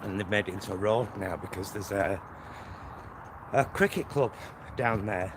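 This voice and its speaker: A man's voice: lowish voice, moderate pace. A man with a Greater Manchester accent chatting casually in a rambling, self-interrupting way, as a vlogger out on a walk. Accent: Northern English, Greater Manchester. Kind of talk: casual walking vlog chat. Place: outdoors, walking by roads and motorway. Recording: outdoor recording with loud traffic noise. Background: loud traffic and outdoor ambience.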